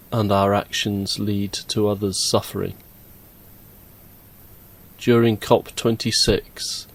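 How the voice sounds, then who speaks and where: A man reading a prayer in a solemn, even way. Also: on the low side. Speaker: prayer reader. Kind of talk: read prayer.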